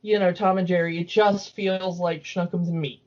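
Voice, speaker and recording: A woman in a casual, discursive fan chat about cartoons, talking in an animated, opinionated way. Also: fairly low pitch, moderate pace, muffled recording. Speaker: podcast co-host.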